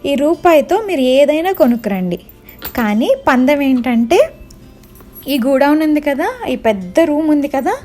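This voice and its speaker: A woman's voice: sits high, lively intonation, measured pace, warm tone, soft background music. A woman voicing a male merchant in a children's storybook tale, instructive and emphatic as he addresses his servants.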